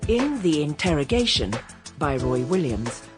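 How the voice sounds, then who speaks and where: A woman's voice: sits low, sing-song, measured pace, over loud music. A woman reading scripted credits as a broadcast drama announcer. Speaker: continuity announcer.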